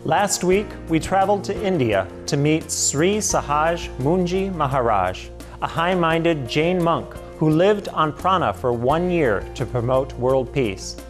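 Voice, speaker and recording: A host narrating a documentary segment in a calm, explanatory way. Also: mid-range voice, a little slow. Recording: music bed under voice.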